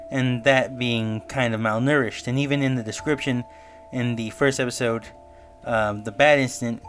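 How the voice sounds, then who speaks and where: A man's voice: medium pitch, a bit bright. Man narrating a video essay over music. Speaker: online reviewer and theorist. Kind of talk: semi-scripted video essay commentary. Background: background music.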